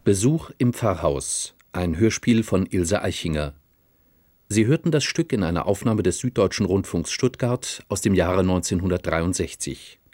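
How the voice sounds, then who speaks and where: A man announcing radio drama credits in a formal, measured, scripted manner. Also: sits low, lively intonation, darkish tone, no echo, studio quality.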